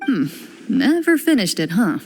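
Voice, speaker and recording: A woman voicing a composed, stern young female duelist in a game cutscene, speaking in a calm, measured, quietly commanding way. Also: medium pitch, gravelly, a little hiss or hum. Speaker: game character, a duelist hunter. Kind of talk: video game cutscene voice acting.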